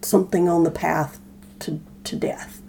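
A woman co-hosting a casual podcast, explaining things in a halting, thoughtful way. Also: on the low side, flat delivery, creaky, warm tone, slight background noise. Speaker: podcast co-host.

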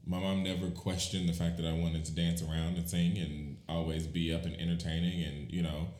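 Bassy, very low-voiced man. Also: little pitch movement, fairly quick, darkish tone. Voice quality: bassy deep voice. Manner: candid, playful storytelling. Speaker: podcast co-host. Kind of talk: casual chat. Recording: roomy echo.

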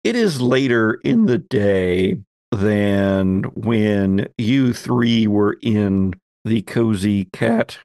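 A man narrating a tabletop roleplaying game as game master, pausing and deliberate as he sets out scenes and questions. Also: on the low side, lively intonation, a little mellow, no room sound.

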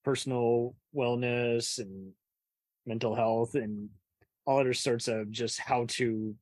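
A man's voice: mid-range voice, fairly even intonation, slow, a little mellow, dry recording. A man hosting a casual interview, agreeable and slangy, often chiming in to affirm his guest. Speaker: podcast interviewer and host.

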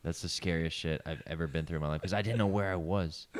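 Deep-voiced young man telling a rambling story. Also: fairly even intonation, quick pace, slight background noise. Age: 20s. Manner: rambling storyteller, swears a lot. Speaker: comedian guest. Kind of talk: casual podcast chat.

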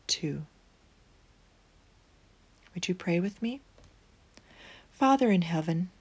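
A woman with a slightly low voice reads a Bible storybook for children. She takes her time, speaking very slowly and deliberately. Her delivery is expressive, in the manner of a storyteller hosting story time. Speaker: storybook reader and host. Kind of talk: read-aloud children's Bible story narration.

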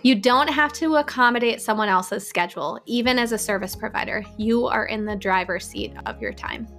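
A woman co-hosting a business podcast, giving quick tips in an upbeat, direct way. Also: on the high side, expressive, a bit bright, faint music behind. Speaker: podcast co-host. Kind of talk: rapid-fire podcast tips.

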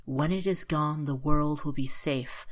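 A centuries-old hunter of monsters speaks with cold, coaxing calm, as a woman reader puts him on. The voice sits low and deep. It is a storybook figure, patient and ruthless. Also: a little slow. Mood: coldly persuasive.

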